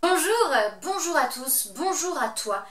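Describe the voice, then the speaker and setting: A woman's voice: high voice, very animated, measured pace, a bit bright. Bubbly Belgian woman in an echoey room. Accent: Belgian French. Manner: playful, teasing, talks straight to viewer. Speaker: vlog host reviewing shows. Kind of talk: chatty show review vlog.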